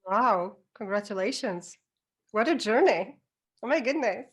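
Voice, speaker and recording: A woman's voice: medium pitch, lively intonation, measured pace, breathy edge. A woman hosting a casual podcast interview in an encouraging, effusive way.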